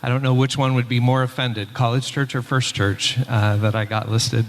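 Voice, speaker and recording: Man, conference paper talk, relaxed with fillers, middle pitch, long hall echo. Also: moderate pace. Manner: relaxed, speaks with frequent "uh" fillers. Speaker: pastor and church superintendent presenting a paper.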